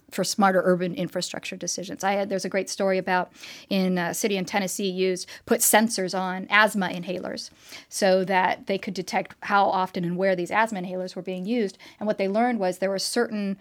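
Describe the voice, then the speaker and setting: A woman talks as a guest in a casual interview, explaining technology at length. She is animated and talkative, with lots of fillers and restarts. Her pitch sits in the middle range. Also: normal speed. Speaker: tech expert guest. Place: trade show floor.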